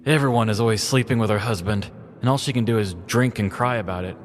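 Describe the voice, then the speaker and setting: A man's voice: on the low side, fast, soft background music, lightly acted. Man voicing a brash, blunt slob woman. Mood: scornful. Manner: blunt, casual. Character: brash, careless slob woman, freeloading petty criminal.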